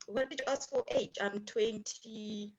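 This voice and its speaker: A woman's voice: medium pitch, fairly even intonation, a little slow, a little mellow. A woman in her twenties, laughing and self-mocking on a video call with a breaking-up connection. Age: 20s. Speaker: guest. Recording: dull lo-fi video-call audio.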